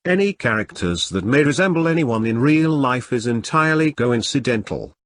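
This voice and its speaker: A man narrates a script in a stiff, literal way. His mid-pitched voice moves up and down a lot, and the sound is very dry with no room around it. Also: lively intonation, normal speed, a bit bright, no echo. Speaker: video narrator.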